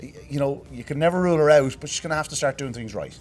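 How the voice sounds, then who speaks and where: This Irish man has a hoarse voice and hosts a greyhound racing review. He talks quickly and goes into detail on race times. His pitch sits in the middle range for a man. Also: darkish tone. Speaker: racing show presenter. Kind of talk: post-race review and analysis.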